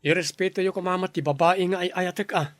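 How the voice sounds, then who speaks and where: Guy playing young filipino son in radio drama, pretty high voice for a man, earnest, frustrated, dry recording. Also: steady tone, quick pace, no room sound, close to an everyday voice. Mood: indignant. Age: young adult. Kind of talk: Ilocano radio drama acting.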